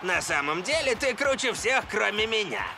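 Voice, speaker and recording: High, creaky, cocky cartoon striker. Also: male voice, very animated, moderate pace, background noise. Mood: smug. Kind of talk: animated cartoon dubbing. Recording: music playing behind the voice.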